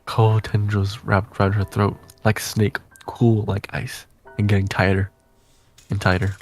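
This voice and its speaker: Low-voiced man narrating suspensefully. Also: monotone, measured pace, soft background music. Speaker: player reading a visual novel aloud. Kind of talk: dramatic storybook-like narration.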